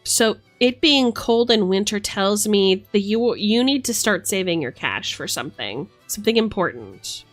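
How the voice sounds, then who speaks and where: A woman's voice: mid-range voice, lively intonation, moderate pace, hardly any pauses, soft background music. A woman livestreamer chatting casually and affectionately, thinking aloud in a playful way as she reads tarot cards.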